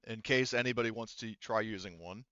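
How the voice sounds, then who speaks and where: Male game master, lowish smooth voice, casual and sweary, improvising over slightly dull, dry audio. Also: brisk, sharp tone, no room sound. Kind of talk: improvised tabletop game narration over voice chat.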